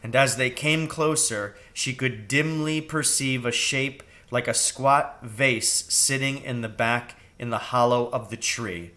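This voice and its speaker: A man narrating a children's storybook aloud in a deliberate, expressive manner. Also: medium pitch. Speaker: reader, teacher.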